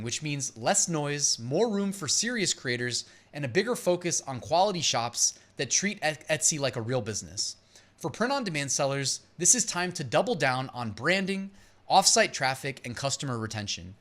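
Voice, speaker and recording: A man's voice: a little high, fairly bright tone. A man hosting an advice channel, explaining points in an emphatic, punchy way and mixing read-out lines with his own commentary. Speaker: host of an online seller advice channel. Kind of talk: explainer commentary to camera, part reading out points.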